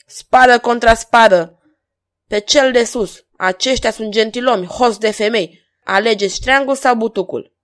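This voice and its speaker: A woman's voice: a little high, a bit bright, natural voice. A woman voicing a bold young swordsman in an audiobook, taunting and theatrical with a biting edge. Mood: defiant. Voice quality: biting, harsh ringing voice. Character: bold mocking young swordsman, fearless homeless rogue.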